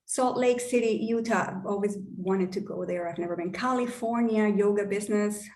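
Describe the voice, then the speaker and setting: A woman's voice: medium pitch, moderate pace, darkish tone, roomy sound. A woman from Colombia with a Colombian Spanish accent, a native Spanish speaker, hosting an online workshop in a casual, upbeat way with the odd swear word. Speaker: workshop host and wealth coach.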